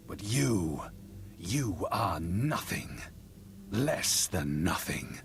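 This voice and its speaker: An arrogant dark sith lord in a game, really low voice, slow and pompous, faint music. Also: male voice, sing-song, gravelly, full character voice. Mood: cold contempt. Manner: pompous, deliberate, contemptuous. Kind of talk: dramatic video game villain acting.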